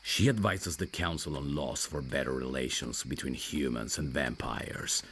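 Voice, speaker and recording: Reserved male vampire voiced by a man, bass and gravelly, rational and guarded, roleplay audio with some noise behind. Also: moderate pace, fairly bright tone. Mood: calm, serious. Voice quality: very deep, gravelly. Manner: dry, guarded. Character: reserved, rational male vampire messenger, over fifty years undead.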